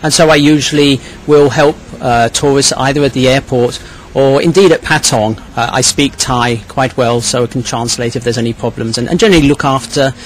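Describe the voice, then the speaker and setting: A man with a mid-range voice explains things in a measured way, as an interviewee. He sometimes repeats a phrase as he goes. Clear ambient noise sits behind him on the location recording. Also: medium pitch, poor audio. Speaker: hotel owner and tourist police volunteer interviewee. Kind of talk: spoken interview account.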